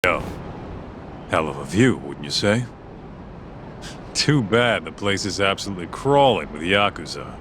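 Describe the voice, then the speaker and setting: Streetwise sarcastic male sidekick, lowish animated voice, slangy skeptical banter, game dub, city noise behind. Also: measured pace, pauses often. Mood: casual, grumbling. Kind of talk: English game dub acting.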